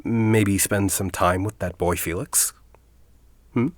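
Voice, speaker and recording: A man playing a composed, persuasive university headmaster in an audio drama, speaking in a formal, deliberate way. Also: fairly low pitch, fairly even intonation, no echo. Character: composed, persuasive university headmaster, grieving a colleague, quietly calculating.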